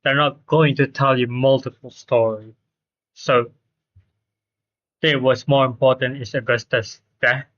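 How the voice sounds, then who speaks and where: A man doing casual live game commentary, halting and repeating himself for emphasis. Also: medium pitch, steady tone, fairly bright tone. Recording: dull, lo-fi stream audio.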